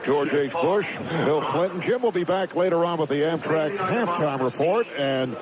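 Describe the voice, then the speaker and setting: A man's voice: on the high side, moderate pace, a loud crowd. A man anchoring live radio sports coverage, recorded off a noisy AM radio broadcast. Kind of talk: live radio game commentary.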